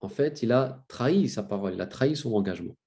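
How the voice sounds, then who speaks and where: A man's voice: lively intonation, quick pace, dark tone. Man lecturing with a cold. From France. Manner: many fillers and restarts, rises in pitch for emphasis. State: has a cold. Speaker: lecturer on religious history. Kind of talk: spoken lecture, storytelling.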